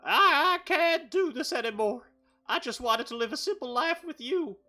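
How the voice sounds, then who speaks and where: A woman's voice: high-pitched, animated, normal speed, bright, forceful tone, soft background music. A woman voicing a dramatic, torn young male lover with melodramatic pleading. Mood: anguished.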